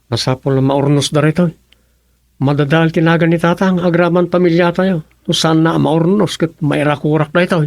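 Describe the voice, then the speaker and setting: Exasperated ilocano son in radio comedy drama, a bit high voiced, grumbly, no echo. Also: male voice, steady tone, moderate pace, creaky, clean studio sound. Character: exasperated adult son in small-town family drama.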